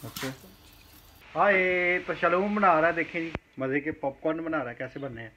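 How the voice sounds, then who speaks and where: A man's voice: on the high side, fairly even intonation, fairly quick, sharp tone, highs cut off. Man, thirties, casual vlog, cheerful exclamations, phone held in hand, noisy recording. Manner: cheerful, exclaims often, narrates to viewers. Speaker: vlogger. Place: home kitchen and rooftop, indoors and out. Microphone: phone camera held in hand.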